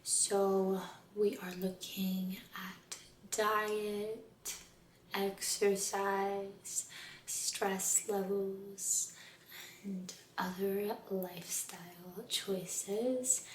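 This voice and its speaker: A woman speaking softly and breathily, often near a whisper, in a gentle, soothing ASMR role-play as a spa aesthetician. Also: medium pitch, reverberant, slight background noise. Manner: gentle, soothing, unhurried, careful. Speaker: ASMR performer playing a med spa aesthetician. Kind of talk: soft-spoken ASMR personal attention role-play.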